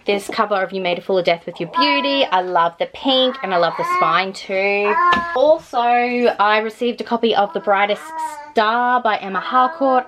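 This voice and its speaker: Casting: female vlogger, gushing and enthusiastic, mid-pitched, casual chat straight to camera. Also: noisy background. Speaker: book reviewer vlogger.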